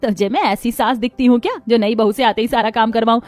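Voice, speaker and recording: Woman voicing kind motherly cartoon mother-in-law, high voice. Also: fairly even intonation, fast, a little mellow, soft background music, natural voice. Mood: affectionate. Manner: motherly, colloquial, reassuring. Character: kind, caring middle-aged Indian mother-in-law, affectionate and reassuring. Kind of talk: Hindi moral cartoon story dialogue.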